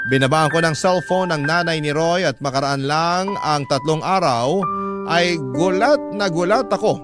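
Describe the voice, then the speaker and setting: Guy reading as a heartbroken young parent, lighter pitch, emotive radio drama storytelling, loud music behind. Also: natural voice. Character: heartbroken young parent recounting a painful breakup. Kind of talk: first-person dramatic story narration in letter form. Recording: music bed under the voice. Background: background music.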